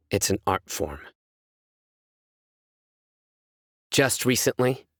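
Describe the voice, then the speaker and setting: A man voicing a stern, principled young judge in a video game, reserved, with measured, formal delivery. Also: fairly low pitch, fairly bright tone, no echo, studio quality, close to an everyday voice. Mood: earnest, absorbed. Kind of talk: scripted video game character voice lines.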